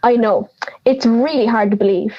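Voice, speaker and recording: A woman's voice: on the high side, fairly even intonation, normal speed, lo-fi sound, lightly acted. A young woman playing a feisty, blunt granny of ninety in an audio drama, scolding and sarcastic. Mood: scolding, brash. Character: feisty, blunt ninety-year-old granny living in the woods.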